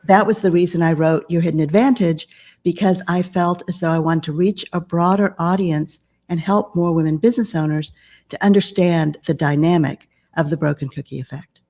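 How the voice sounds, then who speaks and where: A woman in her fifties, a business coach and author, speaking calmly and in a measured way as a podcast guest. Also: on the low side. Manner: calm, measured. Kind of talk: podcast interview conversation.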